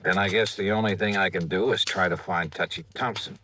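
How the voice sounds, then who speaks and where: An actor playing a tough, dogged investigator in a 1950s radio drama, with a clipped, hard-boiled way of talking. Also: male voice, deep voice, steady tone, brisk, bright, forceful tone, dry recording. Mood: earnest, determined. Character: tough, dogged male insurance investigator hero, terse. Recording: old radio recording with faint noise, clicks.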